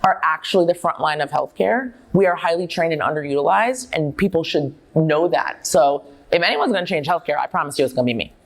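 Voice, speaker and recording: A middle-aged woman with a low voice speaks in an interview. She is self-assured and emphatic, telling personal stories candidly as a founder would. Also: lively intonation, a little fast, hardly any pauses. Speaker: company founder and chief executive, dietitian. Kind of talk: on-stage interview, personal storytelling.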